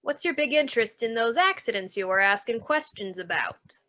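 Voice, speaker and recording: A woman's voice: fairly high pitch, expressive, moderate pace, a bit bright. A woman playing a tough, suspicious carnival manager, brusque and slangy, in old-time radio noir style. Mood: needling, threatening.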